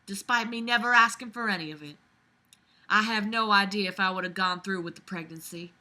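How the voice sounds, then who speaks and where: A woman's voice: medium pitch, a little fast, bright, forceful tone. A young adult woman reading fiction aloud with weary, exasperated, sarcastic commentary and plenty of swearing. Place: small bedroom, lying on a bed.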